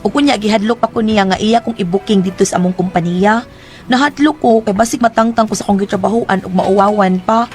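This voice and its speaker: A woman's voice: medium pitch, fairly quick, faint music behind, close to an everyday voice. An actress playing a troubled young woman in a radio drama, asking questions and telling her worries about her sister.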